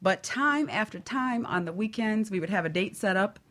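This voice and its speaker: A woman's voice: medium pitch, expressive, normal speed, fairly bright tone. A woman on a talk show panel telling personal stories in a casual, frank, earnest way.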